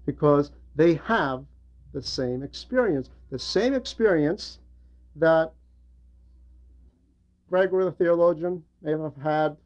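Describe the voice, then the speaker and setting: A man's voice: on the high side, a little mellow, dull audio. A man delivering an explanatory religious homily in a didactic way, repeating phrases for emphasis. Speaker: preacher and religious teacher.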